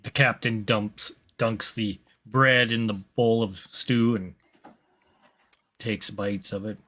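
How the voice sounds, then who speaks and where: A man improvising as a seasoned, unhurried military captain in a tabletop roleplaying game, with a deliberate manner. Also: lowish voice, fairly even intonation, sharp tone. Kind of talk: improvised in-character roleplay and narration.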